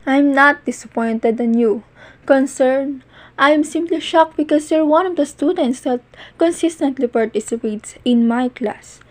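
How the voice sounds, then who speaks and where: A kindly, concerned female teacher in a homemade cartoon, voiced by the woman who narrates. She speaks in a somewhat high voice, formal and advising. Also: measured pace. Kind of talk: amateur animated cartoon dialogue.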